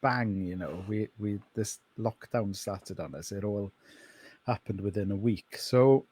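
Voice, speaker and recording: Slow-speaking North Welsh man. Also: fairly low pitch, a little mellow, slightly rough, no echo. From Wales. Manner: unhurried, hesitant with many ums. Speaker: pastor. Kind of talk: podcast interview.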